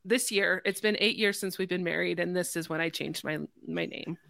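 Bright-voiced woman podcast host. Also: fairly low pitch, steady tone, moderate pace, creaky, no room sound. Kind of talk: casual podcast chat.

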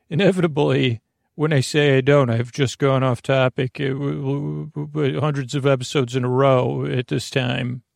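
Creaky-voiced man rambling softly to listeners. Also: medium pitch, no echo, studio quality. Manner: meandering, hesitant, halting and repeating. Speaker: podcast host. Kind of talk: rambling bedtime monologue.

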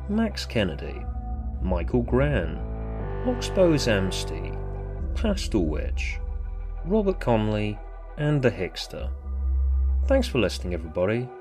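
Guy narrating an audio story, lowish voice, measured delivery, bit of music underneath. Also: huge pitch swings. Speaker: author and narrator.

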